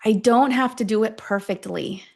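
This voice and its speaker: A woman leading a guided affirmation meditation, speaking deliberately in short phrases with long pauses between them. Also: a little high, creaky. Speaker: guide of a self-affirmation tapping session.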